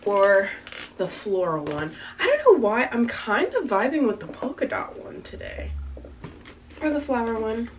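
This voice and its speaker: A young woman in her twenties chatting casually as she tries on outfits in her bedroom, a little raspy from congestion, rambling with lots of fillers. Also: on the high side, big pitch swings, unhurried, muffled recording. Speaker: fashion vlogger. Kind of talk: casual try-on chat. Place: small bedroom with backdrop, indoors. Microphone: camera mic from a few meters away. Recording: slightly distant camera mic, faint room noise.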